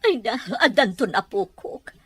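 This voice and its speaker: A woman's voice: a little high, very animated, a little slow, slightly rough, dry recording, full character voice. An actress playing a wealthy, haughty middle-aged mother in a radio drama, questioning and exclaiming theatrically. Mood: haughty, probing. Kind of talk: Filipino radio drama acting.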